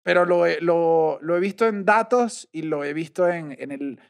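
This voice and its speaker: Man, from Venezuela, high-pitched, gravelly, animated storyteller, casual chat. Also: normal speed. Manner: animated storyteller, acts out quotes. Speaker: podcast co-host.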